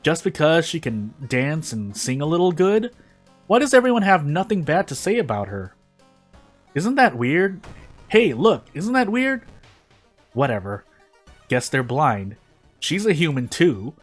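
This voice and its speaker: A man's voice: on the high side, sing-song, a little slow, long pauses, voice pushed up. Envious, scornful hater, played by a male reader, incredulous griping, storybook drama over music. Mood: disgusted scorn. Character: bitter, envious idol hater, scornful and suspicious. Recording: music behind the voice.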